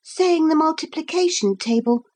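Woman as an earnest high-pitched little girl. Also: lively intonation, moderate pace, a little mellow. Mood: prompt, caring. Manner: prompt, serious. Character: earnest, loyal young girl, prim storybook friend.

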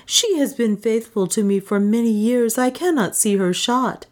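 An impassioned young woman as a narrator plays her in an adventure storybook. Her voice rises high, dramatic and indignant, in high-flown phrases. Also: normal speed, natural voice. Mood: pleading.

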